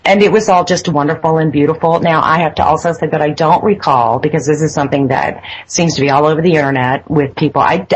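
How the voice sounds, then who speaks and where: Woman, interview guest, low voice, husky, hesitant, many restarts, phone interview. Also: muffled recording. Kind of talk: phone interview, personal account. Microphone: phone line.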